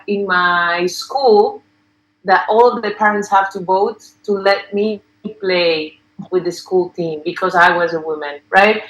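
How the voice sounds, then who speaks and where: Bright woman in a roomy space. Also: medium pitch. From Colombia. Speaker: panelist, former professional footballer. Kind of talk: personal story told on a panel.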